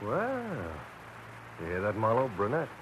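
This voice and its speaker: A man's voice: on the low side, wildly swooping pitch, a little slow. An American man playing a brash, sardonic police lieutenant in a 1940s radio drama. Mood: sardonic, commanding. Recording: noisy, dull vintage radio recording.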